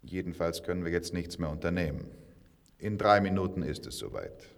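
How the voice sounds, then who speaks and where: A man's voice: low voice, expressive, brisk, creaky, a little hiss or hum. An actor playing a cynical, commanding man in a radio drama, sardonic and blunt. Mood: sardonic, grim.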